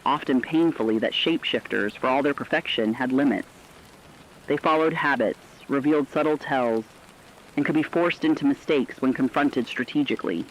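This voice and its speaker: A man giving dramatic scripted science-fiction narration with a serious, deliberate delivery. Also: medium pitch, highs cut off, slight background noise.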